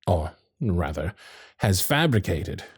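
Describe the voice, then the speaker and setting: Man playing a genteel gentleman, animated delivery. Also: sits low, slow pace, breathy edge, no echo, clean studio sound, full character voice. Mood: concerned, probing. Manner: formal, genteel, old-fashioned phrasing with theatrical flourishes. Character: courteous, ardent Victorian gentleman suitor, smitten and frank. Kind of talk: ASMR romantic period roleplay acting.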